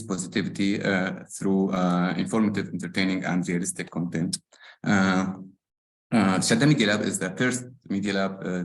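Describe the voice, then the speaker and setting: A man from Syria with a very deep voice presents his work on a panel. He speaks haltingly, with frequent pauses between phrases. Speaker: media lab coordinator, panelist. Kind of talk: panel introduction.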